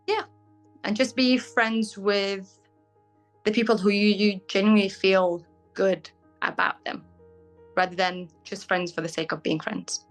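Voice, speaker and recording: Young woman, British accent, Syrian-born, Arabic first language; frank, halting interview guest, faint music under. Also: medium pitch, fairly even intonation. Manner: frank, fills pauses with "um", searches for words. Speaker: guest, bridal fashion designer. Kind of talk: casual interview answers.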